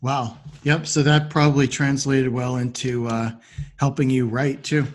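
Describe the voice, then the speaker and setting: A man's voice: mid-range voice, little pitch movement, a little slow. A man hosting a podcast interview, asking questions and giving short responses.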